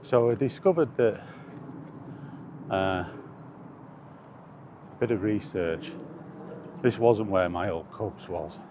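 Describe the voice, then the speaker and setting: Deep-voiced man rambling at an unhurried pace. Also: warm tone, slight background noise. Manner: hesitant, meandering, self-correcting. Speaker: vlogger. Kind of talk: casual rambling walk-and-talk chat. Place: outdoors, walking.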